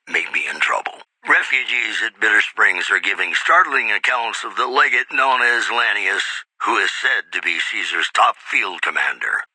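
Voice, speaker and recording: Casting: suave game radio news DJ, low-pitched, very bright and forceful, measured scripted bulletins. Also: male voice, no echo, heavily acted, poor audio. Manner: polished, measured announcer delivery. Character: suave, smooth radio DJ reading wasteland news.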